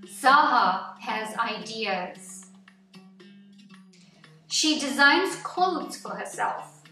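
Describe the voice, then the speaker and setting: A woman reading a nonfiction picture book aloud to children in an expressive, deliberate storytelling way, phrase by phrase. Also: on the high side. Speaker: children's story-time reader. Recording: echoey room, distant mic, music underneath.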